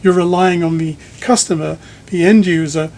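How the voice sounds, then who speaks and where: A man conducting a technical interview in a conversational way, speaking in measured, thoughtful, sometimes halting phrases. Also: on the high side, steady tone, darkish tone. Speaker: magazine editor interviewing a speaker designer. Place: listening room in a home.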